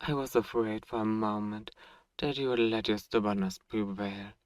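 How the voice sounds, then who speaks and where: A man playing a suave, possessive vampire in an ASMR roleplay, courtly and seductive with teasing menace and dramatic pauses. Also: fairly low pitch, fairly even intonation, dry recording, studio quality, lightly acted. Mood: smug menace. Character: suave, sadistic, possessive male vampire host.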